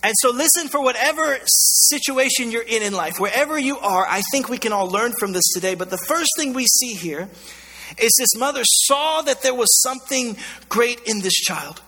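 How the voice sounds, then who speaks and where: A man's voice: very high voice, expressive, normal speed, bright, forceful tone. A man with an American accent preaching a sermon in an emphatic, colloquial way, repeating phrases, his voice scratchy and strained. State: scratchy, strained voice going hoarse. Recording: long hall reverb.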